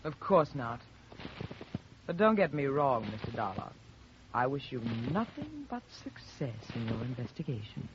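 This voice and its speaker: A woman's voice: rumbling low, very animated, normal speed. A woman acting a cool, brittle, desperate widow in an old radio drama, with a guarded, haughty, theatrical delivery. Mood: cold, threatening. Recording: muffled, noisy vintage radio recording.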